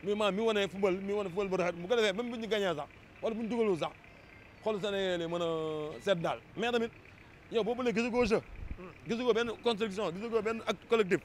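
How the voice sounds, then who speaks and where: High-pitched, fast-talking Senegalese man. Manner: emphatic, repeats words. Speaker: street interviewee, football fan. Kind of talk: impassioned street interview opinion. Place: busy city street, outdoors. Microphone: handheld interview microphone. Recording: outdoor street noise. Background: traffic and street ambience.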